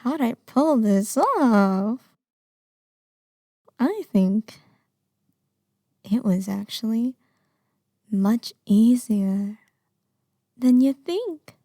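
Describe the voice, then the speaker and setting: A woman acting an obsessive, lovesick young kidnapper in an intimate ASMR roleplay, sweetly menacing with breathy murmurs and sighs. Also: a little high, sing-song, drawn-out words, pauses often, warm tone, no room sound, studio quality, full character voice. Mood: smug.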